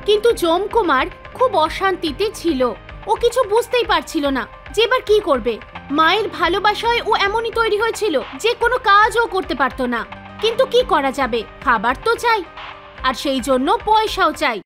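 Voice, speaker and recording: A woman narrator reading a scripted animated story for children. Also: very high-pitched, moderate pace, faint music behind.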